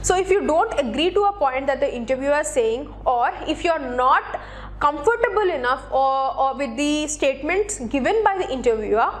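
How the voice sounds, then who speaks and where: A woman's voice: high-pitched, lively intonation, normal speed. A woman presenting instructional advice in a didactic way, speaking directly to the listener in a semi-scripted style. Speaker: presenter of interview tips for job seekers.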